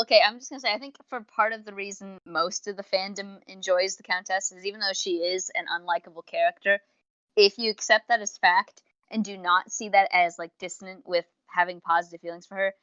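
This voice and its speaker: A woman's voice: medium pitch, fairly even intonation, normal speed, a bit bright, no room sound, highs cut off. A woman co-hosting a casual review podcast, explaining her opinions emphatically. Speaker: podcast co-host reviewing audio series.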